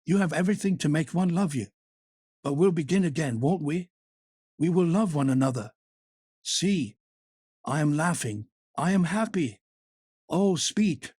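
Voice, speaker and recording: A desperate young woman begs and coaxes in a raised, slightly husky voice, as a male reader puts her on. Her lines plead with emotion in a dry, close audiobook sound. She is an audiobook heroine on the edge of ruin. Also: a little high, expressive, normal speed, darkish tone, no room sound. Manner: emotional, imploring. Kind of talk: audiobook character reading.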